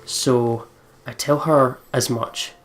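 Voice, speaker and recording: A man's voice: mid-range voice, steady tone, slow pace. Man reading a game story over faint music. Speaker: let's play host reading the story aloud. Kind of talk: expressive story reading with casual game commentary.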